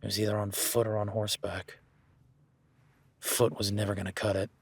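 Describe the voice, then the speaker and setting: A man's voice: fairly low pitch, flat delivery, normal speed, gravelly, breathy edge, no room sound. A man voicing an easygoing male cowboy ranch hand in an audio roleplay, talking in a laid-back, folksy way with casual swearing.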